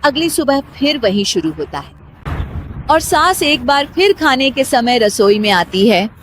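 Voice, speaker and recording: A woman's voice: high voice, big pitch swings, slow pace, a little hiss or hum. A woman reading scripted narration for a cartoon moral story as its storyteller.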